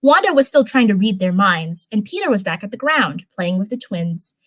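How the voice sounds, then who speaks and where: A woman streamer reads a story aloud in a mid-pitched voice. Her delivery is casual and informal, slipping easily between narration and chatty, rambling commentary. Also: big pitch swings, normal speed, no echo. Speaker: live streamer reading fanfiction.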